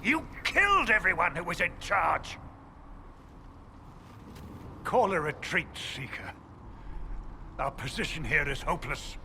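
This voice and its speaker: An actor playing a pompous, hostile church chancellor in a video game, speaking with indignant authority. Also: male voice, a little high, sing-song, unhurried, pauses often, bright, forceful tone, full character voice. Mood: grim, pleading. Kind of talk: video game character acting. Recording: noisy game scene ambience.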